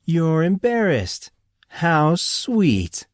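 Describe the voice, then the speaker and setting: Man acting teenage boy, sardonic, slangy, raised pitch, audiobook character, dry sound. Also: wildly swooping pitch, drawn-out words, no echo, full character voice. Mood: teasing. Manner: teasing, slangy. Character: groggy, sardonic teenage boy, new at school.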